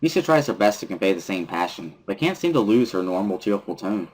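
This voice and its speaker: A man reading first-person story narration from a visual novel as a dramatic reading, with a husky voice. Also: lowish voice, sing-song, a little fast, darkish tone, low-quality recording.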